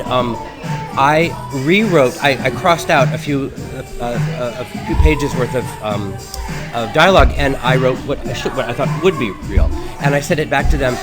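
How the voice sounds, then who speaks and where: A man co-hosting a casual talk show, reminiscing in a halting way with repeated phrases and restarts. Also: medium pitch. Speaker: co-host of a web talk show.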